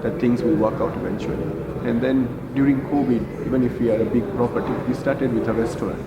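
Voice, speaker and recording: Indian-born hotel manager, echoey room. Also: male voice, mid-range voice, highs cut off. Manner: unhurried, many fillers and restarts. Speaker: hotel group general manager. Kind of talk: interview answers. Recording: echoey room with loud ambience.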